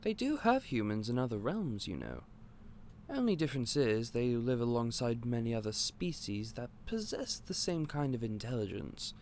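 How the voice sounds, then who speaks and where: A man playing an eccentric, otherworldly candy store owner in an audio roleplay, polite and gentle but a little scatterbrained. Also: mid-range voice, very animated, moderate pace, a little hiss or hum. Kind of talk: scripted audio roleplay to the listener.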